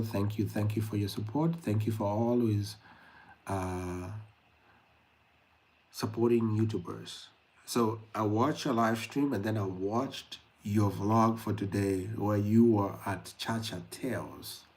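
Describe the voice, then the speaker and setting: A man's voice: lowish voice, darkish tone. A young man from Kenya hosting a late-night livestream, chatting directly to his viewers with frequent fillers. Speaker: YouTube livestream host. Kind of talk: casual livestream talk to viewers.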